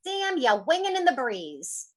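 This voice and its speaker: A woman playing a brassy, defiant underworld juke-joint owner in an old-time radio drama, taunting in drawn-out slang. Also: high voice, huge pitch swings, heavily acted. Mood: defiant, evasive.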